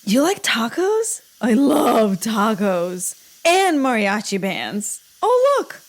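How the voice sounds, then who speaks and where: An actress playing a dazed, childishly giddy technician in a comedic audio drama, spacey and drifting. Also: female voice, on the high side, very animated, slow, full character voice. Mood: loopy.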